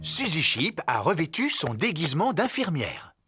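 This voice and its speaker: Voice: medium pitch, big pitch swings, normal speed, music in the background, natural voice. A narrator telling a French children's cartoon in a cheerful, explanatory storytelling manner.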